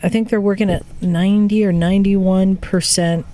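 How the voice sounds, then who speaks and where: An enthusiastic woman hosting a podcast, telling a story casually. She recounts what she saw with open excitement and a conversational flow. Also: mid-range voice, normal speed. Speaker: podcast host recounting a trip.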